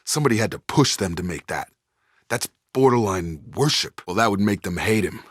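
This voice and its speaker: An actor playing a loyal, earnest young space soldier in a video game, with a straight-talking military manner. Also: male voice, fairly low pitch, lively intonation, a little fast, husky, dry recording, studio quality. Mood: steady, serious. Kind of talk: video game character dialogue.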